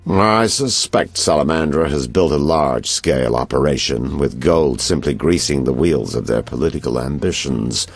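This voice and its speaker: Gravelly, very deep sly private detective. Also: male voice, moderate pace, few pauses, faint music behind, full character voice. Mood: sly, knowing. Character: sly, knowing male private detective selling information. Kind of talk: video game character acting.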